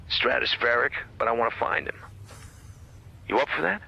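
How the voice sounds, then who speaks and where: An actor playing a driven, obsessive writer on a hunt, speaking in terse, insistent lines in a radio drama. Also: male voice, medium pitch, moderate pace, vocal fry, husky, muffled recording. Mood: determined. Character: driven, obsessive male writer hunting a killer.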